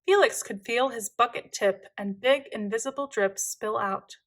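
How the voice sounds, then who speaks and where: A woman reading a children's storybook aloud in an expressive, deliberate, dramatic way. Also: a little high. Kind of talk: expressive scripted read-aloud narration for children.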